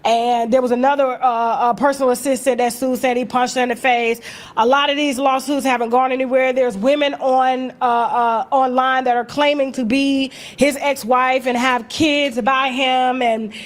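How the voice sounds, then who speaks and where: High-pitched, brash American woman telling gossip. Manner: brash, sassy, profane, acting out conversations. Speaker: gossip vlogger and host. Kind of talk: animated, casual gossip storytelling.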